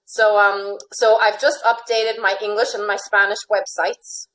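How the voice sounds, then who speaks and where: A woman's voice: mid-range voice, flat delivery, unhurried, a bit bright, poor audio. A chatty woman with an American accent, giving casual interview answers as a guest coach, often repeating her phrases. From born in Finland, grew up in Venezuela.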